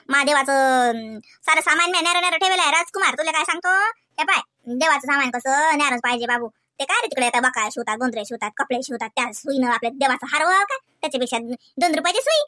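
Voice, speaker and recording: A high, bright cartoon village aunt acted by a woman, older, devout and fussy. She rambles affectionately and grumbles in a rustic Varhadi Marathi accent. The sound is dry and close. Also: no echo. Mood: chatty, fussy. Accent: Varhadi Marathi from Vidarbha. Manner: colloquial, rambling, coaxing and grumbling. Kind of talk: comedy cartoon dialogue.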